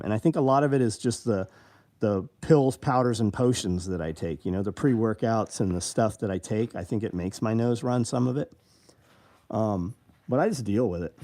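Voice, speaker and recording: A man's voice: lowish voice, fairly quick, warm tone. Blunt, profane man in his fifties. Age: 50s. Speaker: live show host. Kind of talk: casual live chat reading viewer comments.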